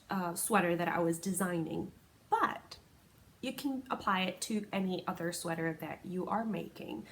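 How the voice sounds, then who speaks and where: A woman's voice: mid-range voice, slight background noise. A woman from Puerto Rico, a native Spanish speaker, chatting casually and a bit rambling as a crochet podcast host. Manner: chatty, rambling, self-correcting with small laughs. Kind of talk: casual solo podcast chat.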